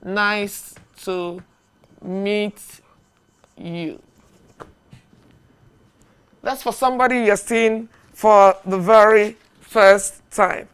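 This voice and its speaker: Slow, clear-voiced woman teaching English. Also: medium pitch. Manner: slow, deliberate, repeats phrases for learners to echo. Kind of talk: beginner language lesson.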